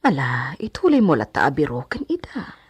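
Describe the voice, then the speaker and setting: A radio drama housemaid played by a woman, frank and fussy, with a deep female voice that is husky at the edges. She talks bluntly and gossips like a bossy big sister, recorded close and dry. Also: very animated, moderate pace, no room sound, exaggerated voice. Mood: concerned, scolding. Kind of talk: Ilocano radio drama acting.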